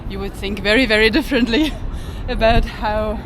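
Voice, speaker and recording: A woman's voice: a little high, normal speed. A woman co-hosting a travel vlog on an outdoor city street, talking earnestly and arguing her points with numbers. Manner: earnest, argumentative, explains with figures. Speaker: travel vlogger, co-host. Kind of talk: casual vlog narration. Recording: street traffic noise behind.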